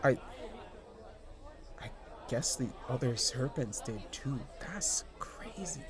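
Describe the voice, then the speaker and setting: A man playing an excitable, shy young partygoer, recounting an eerie encounter in a halting, conversational audio-drama monologue. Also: medium pitch, a crowd in the background. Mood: hushed amazement. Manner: animated, halting, dramatic pauses, self-interrupting.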